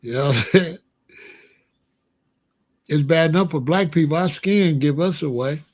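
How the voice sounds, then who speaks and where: A man with a somewhat high voice runs his own opinion show. He speaks bluntly in loose street slang and keeps firing rhetorical questions. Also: moderate pace, darkish tone. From Chicago. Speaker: show host. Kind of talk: casual opinion monologue.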